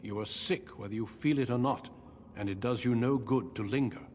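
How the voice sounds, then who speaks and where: An actor playing a calm, grave mentor in a fantasy video game, speaking in a measured, formal and firm way. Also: male voice, mid-range voice, a little hiss or hum, natural voice.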